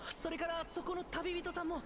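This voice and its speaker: A woman's voice: very high-pitched, flat delivery, rapid-fire. An actress playing a polite, grateful young woman in a Japanese video game, relieved and formal in manner. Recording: loud noise over distant game audio.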